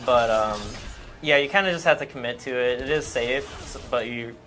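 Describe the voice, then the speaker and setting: A man giving casual, technical gameplay commentary in a halting way. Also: mid-range voice, a bit bright, faint music behind. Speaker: game commentator.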